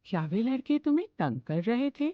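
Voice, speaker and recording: A woman voicing a kindly grandmother in a cartoon, explaining gently and patiently. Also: on the high side, sing-song, moderate pace, no room sound, phone-quality sound, pitched above the performer's own voice. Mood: gentle. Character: kindly grandmother telling a story.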